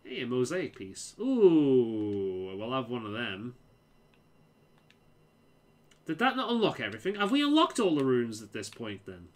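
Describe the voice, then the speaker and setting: Casual game streamer, man, mild Liverpool accent, lively stammering delivery. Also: mid-range voice, normal speed. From England. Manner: repeats words, stammers, restarts sentences. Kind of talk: casual chat while playing.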